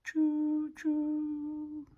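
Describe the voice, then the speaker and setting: A man voicing a sleepy steam train in a children's storybook, with drawn-out hoots and hushed rhythmic chants. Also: very high-pitched, monotone, dull audio, voice pushed up, heavily acted. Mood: hooting. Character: steam train engine, hooting and chuffing sleepily.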